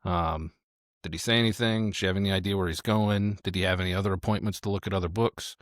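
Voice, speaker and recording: Man, roleplaying game player, lowish voice, dry recording, casual thinking aloud. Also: steady tone, fast talker, dry recording. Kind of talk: casual improvised game chat.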